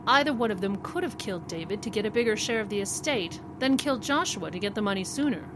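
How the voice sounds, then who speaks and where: An actress playing a determined, quick-witted woman lawyer in an old-time radio detective play, assured, articulate and wry. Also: mid-range voice, animated, noisy background, close to an everyday voice. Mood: indignant. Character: determined, idealistic woman defense attorney, affectionate and quick-witted.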